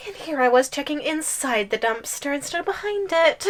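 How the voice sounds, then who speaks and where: A woman playing a possessive giantess girlfriend in an audio roleplay, taunting in a playful, menacing sing-song. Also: high voice. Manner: sing-song taunting with giggles and drawn-out threats. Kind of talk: ASMR audio roleplay acting.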